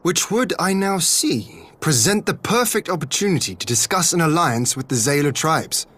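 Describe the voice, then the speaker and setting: An actor playing a shrewd, theatrical strategist in a video game cutscene, speaking in deliberate, formal phrases with a husky voice. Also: male voice, on the high side, animated, a bit bright. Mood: pleased, wry. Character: shrewd, theatrical male strategist, musing and confident.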